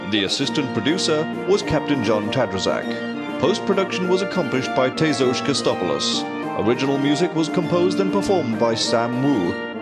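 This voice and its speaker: An actor playing a haughty, world-weary British radio announcer in a comedic audio drama. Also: male voice, mid-range voice, natural voice. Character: snooty, disdainful British male radio announcer. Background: music.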